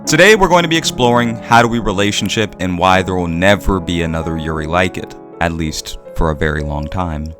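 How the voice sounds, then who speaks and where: A man's voice: low voice, brisk, few pauses, over music. A man hosting and narrating a scripted video essay.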